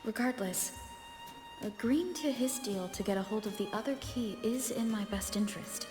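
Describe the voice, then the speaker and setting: A woman voicing a composed, decisive adventurer in a video game, measured and reflective. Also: mid-range voice, music in the background, lightly acted. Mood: wary, resolute.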